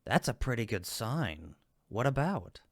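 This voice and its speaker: A man's voice: medium pitch, big pitch swings, measured pace, no echo, studio quality. A man playing a friendly cupid roommate in a comfort roleplay, teasing and supportive, with an emphatic, reassuring manner. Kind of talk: roleplay audio comfort acting, one-sided conversation.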